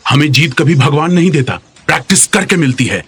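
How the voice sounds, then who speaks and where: Husky, firm martial arts coach. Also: male voice, on the high side, big pitch swings, a little fast, fairly bright tone, heavily acted. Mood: firm, instructive. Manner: firm, instructive. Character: stern, principled martial arts coach and father. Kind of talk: dubbed screen drama dialogue.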